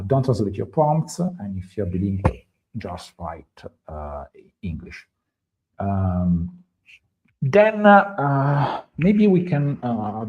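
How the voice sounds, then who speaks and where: A man's voice: lowish voice, muffled recording. A man with an Italian accent, a native Italian speaker, presenting on a live tech stream in a hesitant way with many fillers and restarts. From Italy. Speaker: stream co-host presenting a guide.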